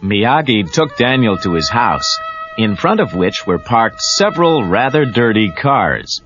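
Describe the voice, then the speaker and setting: Male storyteller for a read-along tape, low voice with animated pitch, muffled cassette sound, music behind. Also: measured pace. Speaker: narrator. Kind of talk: scripted children's read-along story narration.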